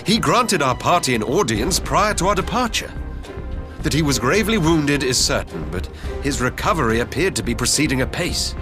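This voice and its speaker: An actor playing a courteous imperial diplomat in a game cutscene, speaking politely and formally in articulate, reasoned sentences. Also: male voice, fairly high pitch, very animated, moderate pace, music in the background. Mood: polite, puzzled. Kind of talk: dramatic game cutscene acting.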